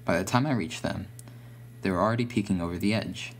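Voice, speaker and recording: Deep-voiced man narrating a horror story. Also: a little fast, slight background noise.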